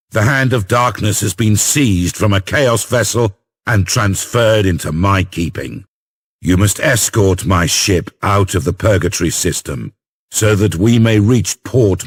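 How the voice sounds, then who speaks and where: An actor playing a stern, watchful imperial inquisitor in a video game, with a solemn and formal manner. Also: male voice, low voice, slightly rough, dry recording, clean studio sound, exaggerated voice.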